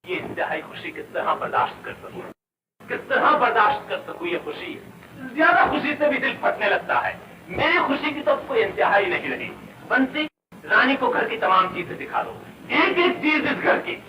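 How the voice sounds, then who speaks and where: A man's voice: high voice, very animated, normal speed. Intense anguished guy from an old melodrama movie, voice swings all over, noisy distant recording. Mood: feverish joy. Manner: theatrical, emphatic repetition. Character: intense, tormented man, devoted and anguished benefactor. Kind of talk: old Hindi film melodrama acting. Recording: echoey, distant, noisy old film soundtrack.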